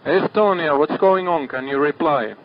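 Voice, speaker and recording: A man working as a ship's radio operator, calm and businesslike, repeating figures back in radio procedure. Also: on the high side. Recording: muffled archive marine radio.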